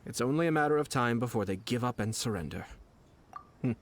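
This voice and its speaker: A man voicing a calm, scheming young noble in a video game, smooth and quietly confident. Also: mid-range voice, a little fast, close to an everyday voice. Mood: composed. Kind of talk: game voice acting.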